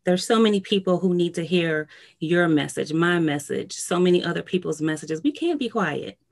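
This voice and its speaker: A woman hosts a podcast and talks with her guest in a relaxed, conversational way. She answers in an affirming, encouraging manner, at a slightly low pitch. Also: normal speed, vocal fry. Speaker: podcast host. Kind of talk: casual interview conversation.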